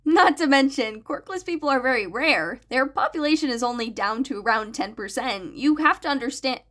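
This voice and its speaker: A woman's voice: high-pitched, lively intonation, normal speed, a bit bright. A woman voicing a nervous, subservient male henchman, deferential and pleading, in a story. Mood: anxious pleading.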